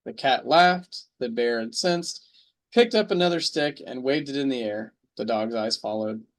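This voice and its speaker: A man's voice: mid-range voice, moderate pace. A man narrating an amateur radio drama table read in a plain storybook reading style.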